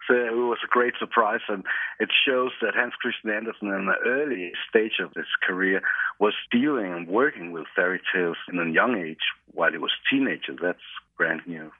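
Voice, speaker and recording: Deep-voiced Danish man on a phone line. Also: brisk, no echo. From Denmark. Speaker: literary expert, interview guest. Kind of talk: explanatory interview. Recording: narrow, muffled telephone line.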